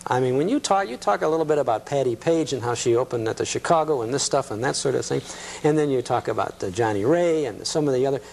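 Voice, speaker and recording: A man's voice: medium pitch, animated, fast, gravelly, few pauses, darkish tone. A man hosting a talk show, interviewing conversationally and rephrasing his questions as he goes.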